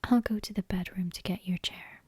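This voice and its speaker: A woman's voice: mid-range voice, steady tone, moderate pace, dark tone, husky, no echo. A woman voicing an earnest, stubborn little witch girl from a storybook in a whisper.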